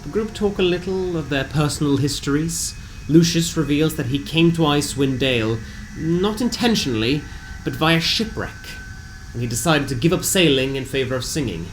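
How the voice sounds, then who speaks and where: A man reading a scripted fantasy game recap as a storyteller, with deliberate, theatrical phrasing. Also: a little high, lively intonation, slight background noise, low-quality recording. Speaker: narrator of a tabletop game session recap. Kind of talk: scripted dramatic storytelling narration. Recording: music bed behind the voice. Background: background music.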